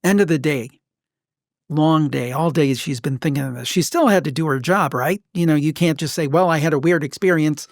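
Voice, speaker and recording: Higher-voiced man hosting a podcast. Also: fairly quick, dry recording, clean studio sound. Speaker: podcast host. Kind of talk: casual conversational storytelling.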